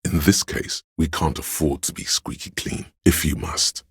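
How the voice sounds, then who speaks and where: Grave game narrator, extremely low pitch, heavily husky rasp, brooding, deliberate, literary storytelling. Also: male voice, steady tone, a bit bright, no echo, studio quality, heavily acted. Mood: grave, measured. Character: brooding omniscient inner voice narrating weary police life. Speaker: voice actor narrating a detective game. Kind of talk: dramatic literary scripted narration.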